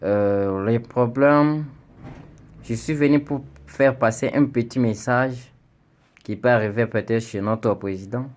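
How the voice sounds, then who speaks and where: Slow, earnest young Congolese man. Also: mid-range voice. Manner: earnest, pleading, rising into indignation with repeated stammered words. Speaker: citizen addressing the president. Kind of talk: spoken video message.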